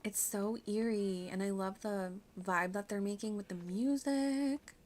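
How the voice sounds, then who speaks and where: A woman streams a game with casual running commentary. Her voice sits at a middle pitch, and her manner is chatty and playful, with a giggly streak. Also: a little slow, a little mellow, faint music behind.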